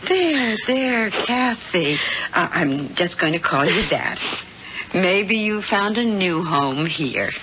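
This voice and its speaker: A woman's voice: on the low side, animated, takes it slowly. An actress playing a genteel, prim, scheming wife who poses as a sweet motherly landlady in a 1970s radio drama. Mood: sweetly welcoming. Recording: dull, noisy radio broadcast tape.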